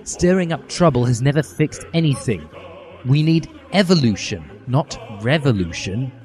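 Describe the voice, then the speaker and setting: A man's voice: medium pitch, animated, normal speed, darkish tone, faint music behind, natural voice. A man voicing a smug, preachy, bookish teenage schoolgirl in a naggy, prim manner for a comic story.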